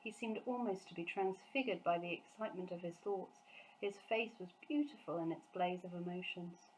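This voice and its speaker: A woman giving an expressive scripted narration of a children's book. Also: on the low side, normal speed, slight background noise, rough recording. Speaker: storybook reader, teacher.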